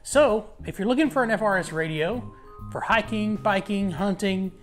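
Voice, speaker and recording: A man in his thirties giving a casual, informal review to camera as host, with a halting delivery. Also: sits high, faint music behind. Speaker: product reviewer, channel host.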